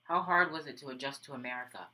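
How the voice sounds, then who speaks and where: A man asking interview questions in a brisk, direct way. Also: mid-range voice, noisy background.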